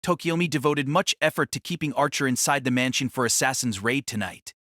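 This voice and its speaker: A man's voice: medium pitch, a little fast, a bit bright, no room sound, studio quality. A man reading scripted dramatic story narration as the narrator of an audio drama.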